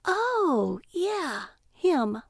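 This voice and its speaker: A woman voicing a sharp-tongued, exasperated but fond wife with a sarcastic, mocking manner in a narrated story. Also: very high voice, very animated, very slow, creaky, no echo, clean studio sound, voice pushed up, full character voice. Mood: sweetly sarcastic.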